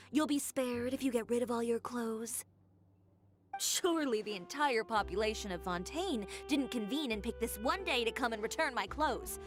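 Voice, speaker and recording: An actress playing a sharp-tongued, proud young fashion designer in a video game, sardonic and crisply commanding. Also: female voice, on the high side, lightly acted. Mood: sardonic exasperation. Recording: music behind the voice.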